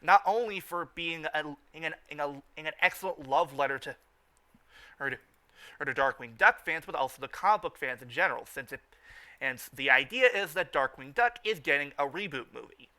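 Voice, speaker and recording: Male fan-show host: higher-pitched, sharp bright tone, stumbling repetitive delivery, informal recap style. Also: expressive. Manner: halting, repeats and restarts phrases.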